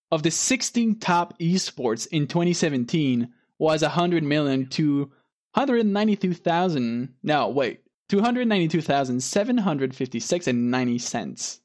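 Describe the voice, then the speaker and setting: A man co-hosting a podcast, chatting casually and rambling with lots of fillers and restarts. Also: a little high. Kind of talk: casual chat.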